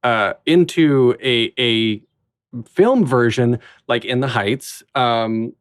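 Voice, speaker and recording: A man hosting a podcast, enthusiastic and chatty, tossing out playful ideas in an easy conversational flow. His voice sits at a middle pitch and sounds dry and close. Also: slow pace, smooth, no echo. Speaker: podcast host. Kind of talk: casual interview conversation.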